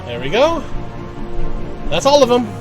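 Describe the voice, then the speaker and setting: A man's voice: extremely high pitch, huge pitch swings, unhurried. A man giving casual live commentary on a video game, musing to himself as he plays. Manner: musing, talks to self while playing. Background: game music.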